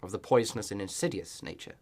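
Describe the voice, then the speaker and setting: An actor in a radio play playing a loyal village reverend, with a measured, eloquent and wry manner. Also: male voice, on the low side, natural voice. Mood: concerned, wry. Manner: measured, eloquent, gently sly.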